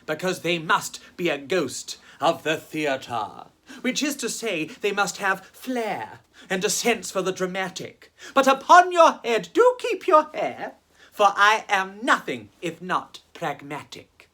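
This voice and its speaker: A woman's voice: lowish voice, very animated, takes it slowly, sharp tone. A woman telling rhyming children's stories in a dramatic, playful way, speaking straight to her listeners. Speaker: children's storyteller and host. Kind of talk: theatrical rhyming storytelling for children.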